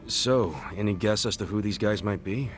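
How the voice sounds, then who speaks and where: An actor playing a brash, warmhearted, loyal street tough in a video game cutscene, blunt and informal. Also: male voice, lowish voice, moderate pace, faint music behind, natural voice. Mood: curious, frank. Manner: informal, blunt, swaggering, foul-mouthed.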